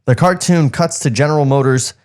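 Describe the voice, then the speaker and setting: A man's voice: on the high side, fairly quick, a little mellow, clean tone. A man co-hosting a podcast, narrating screen directions from a script with casual asides. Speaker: podcast co-host reading a script.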